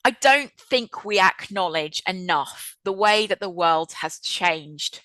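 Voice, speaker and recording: A woman in her fifties with a bright, clear voice, heard dry and close. She hosts a webinar over a video call in a chatty, reflective way. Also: fairly low pitch, lively intonation, slow pace, no room sound, poor audio. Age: 50s. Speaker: webinar host and trainer. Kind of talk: presenting a webinar.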